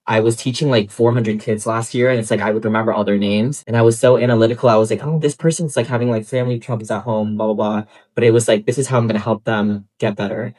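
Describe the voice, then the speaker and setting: A man's voice: lowish voice, fairly even intonation, fast talker, hardly any pauses, a little mellow. Confident man chatting candidly. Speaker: group creator and host. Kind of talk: casual candid talk.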